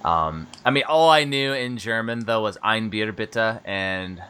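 A lowish American man in his thirties who chats in a loose, rambling way and laughs often. His voice has a forceful, bright edge. He sounds like a relaxed podcast host. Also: measured pace, clean tone. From United States. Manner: laid-back, rambling, laughs often, many fillers. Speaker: podcast host and book publisher. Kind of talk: casual interview chat.

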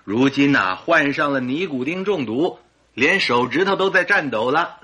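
An actor playing a concerned, good-humored gentleman doctor who gently chides, with a husky voice, in a radio drama. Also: a little high, animated, normal speed, full character voice. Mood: teasing. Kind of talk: Chinese radio drama acting.

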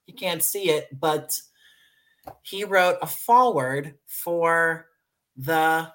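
An American man talks as a guest in a conversational interview. His voice sits fairly high for a man, and his phrasing is effusive, grateful and playful. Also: slow pace, bright, forceful tone. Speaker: guest, audio drama writer and producer.

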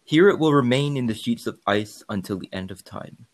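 A man's voice: medium pitch, expressive, normal speed. A man co-hosting a podcast, giving formal scripted narration. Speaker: podcast co-host.

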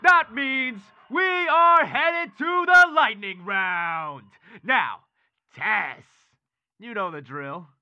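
An actor playing an excitable nineties kids' TV game show host, with showy, hyped announcing. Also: mid-range voice, extremely slow, smooth, no echo. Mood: triumphant hype. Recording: dull lo-fi TV broadcast sound.

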